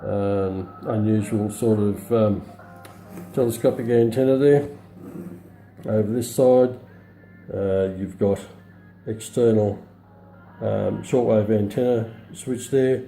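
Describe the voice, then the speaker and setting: Middle-aged male demonstrator, low voice, matter-of-fact and halting, recorded indoors with radio audio behind him. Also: fairly even intonation, creaky, dark tone, reverberant, soft background music. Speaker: presenter of a radio review. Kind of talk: unscripted product demonstration. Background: shortwave radio broadcast playing.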